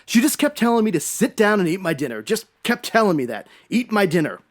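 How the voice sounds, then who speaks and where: A man's voice: high-pitched, big pitch swings, brisk. A man playing a nervous, evasive husband under suspicion in a radio detective drama, hesitant and pleading. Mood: anguished pleading. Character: nervous, evasive husband cornered as a murder suspect. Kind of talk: old-time radio detective drama acting.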